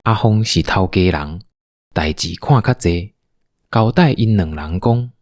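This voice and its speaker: A man's voice: sits low, lively intonation, measured pace, darkish tone, no echo, studio quality. A man with a Taiwanese accent narrating a scripted audio drama as a storyteller.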